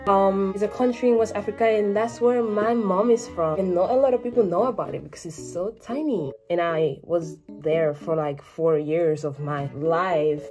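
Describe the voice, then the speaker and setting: A woman's voice: fairly low pitch, moderate pace, few pauses, darkish tone, soft background music. Chatty teen girl vlogging from her bedroom. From Spain. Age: teens. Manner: chatty, self-mocking asides. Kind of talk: casual talk to camera. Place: small bedroom, indoors. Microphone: phone or webcam at arm's length.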